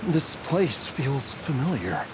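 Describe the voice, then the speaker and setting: An actor playing a troubled, determined young man in a video game, speaking in a halting, earnest way. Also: medium pitch, very animated, noisy background. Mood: searching, uneasy. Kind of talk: video game character acting. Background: game music.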